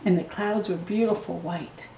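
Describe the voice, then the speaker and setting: A middle-aged woman reading a picture book aloud to children at home, slowly and gently, with excited asides to the viewer. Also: lowish voice, gravelly, roomy sound, rough recording. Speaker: storytime reader. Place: living room at home.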